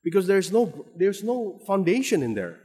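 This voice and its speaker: Indonesian-accented preacher in a reverberant hall. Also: male voice, sits high, very animated, normal speed, a little mellow, breathy edge. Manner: many restarts and repeated words, rising to emphatic stress. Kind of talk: sermon.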